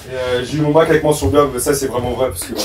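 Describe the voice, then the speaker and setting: A young man talks quickly and playfully, teasing his listeners as he gives his answers. He sounds set back from the microphone in an echoey room. Chatter from others fills the space behind him. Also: mid-range voice, steady tone, distant mic. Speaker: football player. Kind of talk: casual chat, guessing game.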